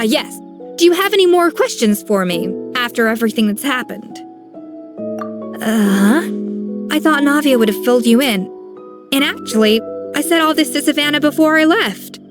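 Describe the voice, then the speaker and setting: A woman voicing a composed grown-up master chef in a video game, calm and gently instructive like a mentor. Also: on the high side, close to an everyday voice. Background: soft game music.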